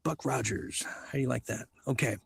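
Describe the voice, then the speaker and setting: Husky, gravelly man hosting late-night talk. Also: medium pitch, normal speed, no room sound. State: losing his voice. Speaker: talk show host. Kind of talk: late-night talk show, casual rambling commentary.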